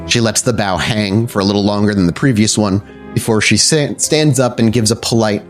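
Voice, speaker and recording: A man narrating a tabletop role-playing game as storyteller, with dramatic, deliberate descriptive phrasing. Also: fairly low pitch. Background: soft background music.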